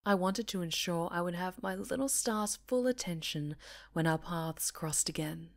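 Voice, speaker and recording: A woman voicing an obsessive, possessive winged supervillainess in an ASMR roleplay, theatrical and breathy, often sinking into whispers, with menacing tenderness. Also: on the low side, moderate pace, reverberant, lightly acted. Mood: taunting, adoring.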